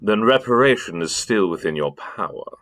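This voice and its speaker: An actor playing a grave, authoritative Victorian English gentleman in a radio play, speaking solemnly and formally. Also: fairly low pitch, animated, moderate pace. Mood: grave, probing.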